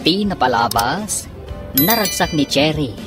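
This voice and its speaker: Low-pitched woman narrating radio drama over music. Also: expressive, measured pace.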